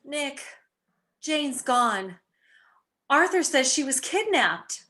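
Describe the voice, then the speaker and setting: Casting: actress for witty young detective's wife, composed society manner, lively and fairly high, 1940s-style radio comedy. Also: female voice, extremely slow, heavily acted. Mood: urgent. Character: clever young society wife playing amateur sleuth, composed. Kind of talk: old-time radio mystery comedy acting.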